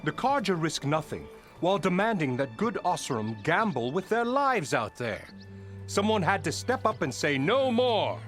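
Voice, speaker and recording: An actor playing a pompous, self-important labour boss in a fantasy video game, bombastic, theatrical and mock-courteous in manner. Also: male voice, on the high side, sing-song, moderate pace, a bit bright, faint music behind. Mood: indignant, boastful. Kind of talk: video game character acting.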